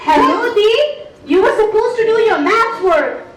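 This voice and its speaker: A woman's voice: extremely high pitch, lively intonation, a little slow. A woman voicing a patient, firm mother in a children's puppet show, coaxing and instructing. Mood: scolding. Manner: coaxing, instructive, repeats words. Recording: echoey room with audience noise.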